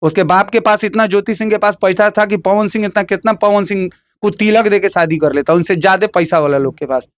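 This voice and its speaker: A man's voice: high-pitched, a little fast, hardly any pauses. A man with a Bhojpuri accent giving heated opinions in an interview, emphatic and combative, repeating phrases and firing rhetorical questions.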